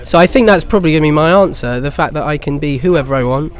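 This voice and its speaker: A man's voice: fairly high pitch, fast talker. A man in his twenties from southern England with an English accent, answering interview questions casually as an actor. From Bournemouth, England. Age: 20s. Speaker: pantomime actor.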